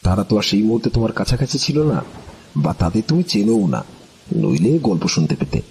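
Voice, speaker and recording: A man voicing an elderly, knowing tantric storyteller who speaks in a measured, instructive and familiar way in an audio drama. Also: fairly low pitch, sing-song, creaky, a little mellow, a little hiss or hum, voice pushed down. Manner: measured, instructive, familiar address to younger listeners. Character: elderly male tantric storyteller, composed, knowing, quietly amused.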